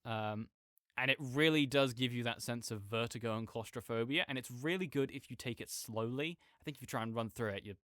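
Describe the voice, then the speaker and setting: A man's voice: mid-range voice, brisk, fairly bright tone, no room sound, studio quality, natural voice. A man playing a chatty, nerdy roommate who rambles enthusiastically about a video game in a casual, digressive audio roleplay monologue. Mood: enthusiastic, opinionated.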